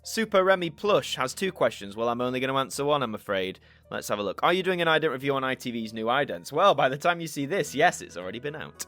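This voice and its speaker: A man's voice: mid-range voice, very animated, fast talker, fairly bright tone, soft background music. Chatty young Yorkshire man. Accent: mixed northern English, Yorkshire. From Yorkshire, England. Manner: chatty, thinks aloud with restarts. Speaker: YouTube channel host. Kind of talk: casual Q&A chat.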